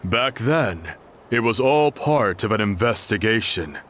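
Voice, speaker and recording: A man's voice: on the low side, expressive, a little slow, a bit bright, slight background noise. An actor playing a jovial man hosting an eating contest in a video game, with a hearty storytelling manner. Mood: amused, explaining.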